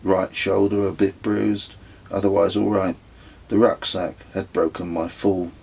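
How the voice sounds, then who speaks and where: A man narrates his own written story in a lowish, husky voice. The delivery is slow and measured, with a descriptive audiobook style that takes its time over each phrase. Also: level tone, darkish tone. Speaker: author reading own memoir.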